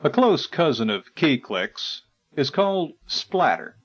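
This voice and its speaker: A man reading a scripted educational narration in a formal, measured, articulate way. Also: fairly high pitch, very animated, heavy background noise. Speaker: narrator of an instructional audio guide. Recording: dull, lo-fi 1980s tape recording.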